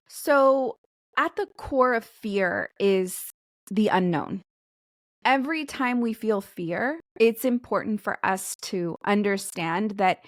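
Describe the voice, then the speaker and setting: A woman's voice: medium pitch, dry recording, clean studio sound. A woman in her forties, a podcast guest explaining ideas in an earnest way. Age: 40s. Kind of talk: podcast interview.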